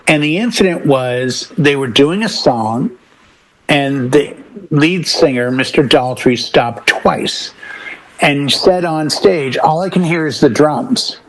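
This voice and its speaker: This man speaks in a slightly high register as a panelist in a casual discussion. He is emphatic and opinionated, pressing each point insistently. His stories come with quoted lines acted out along the way. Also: slow, a bit bright, low-quality recording. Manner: acts out imagined quotes as he tells stories. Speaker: podcast panelist.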